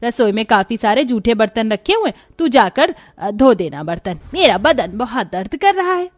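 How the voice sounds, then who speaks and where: Woman playing a melodramatic, animated mother-in-law. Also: on the high side, moderate pace, dry recording, clean studio sound. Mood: weary. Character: greedy, scolding middle-aged mother-in-law, later self-pitying. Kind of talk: Hindi moral cartoon story acting.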